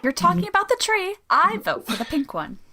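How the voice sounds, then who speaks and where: An actress as a high-pitched skeptical teenage daughter. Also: female voice, big pitch swings, a little fast, bright, forceful tone, full character voice. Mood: earnest. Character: skeptical, modern-minded 1950s teenage daughter. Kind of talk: old-time radio comedy acting.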